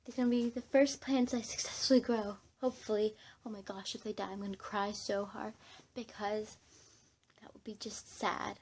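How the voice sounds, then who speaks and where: A woman's voice: mid-range voice, flat delivery, normal speed, darkish tone, a little hiss or hum. A young woman chatting casually to camera as a vlogger, in a scattered, giggly way, often interrupting herself. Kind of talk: casual rambling chat to camera.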